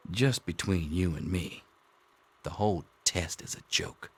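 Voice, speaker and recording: A man's voice: deep voice, measured pace, creaky, husky, full character voice. A man playing a wry, jaded middle-aged schoolteacher in a video game, with a sardonic, theatrical drawl. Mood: joking, confiding. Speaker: teacher. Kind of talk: video game dialogue acting.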